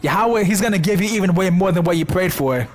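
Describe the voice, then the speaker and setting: A man preaching in a casual, slangy way, hoarse from a sore throat, excited and repeating words for emphasis. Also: high-pitched, fast. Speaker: religious video host.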